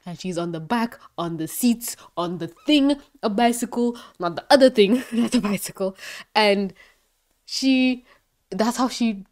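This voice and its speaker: Congested, gushing young woman reviewer. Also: a little high. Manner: gushing, excitable, swears freely. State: congested, nasal. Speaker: cartoon episode reviewer. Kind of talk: casual review commentary.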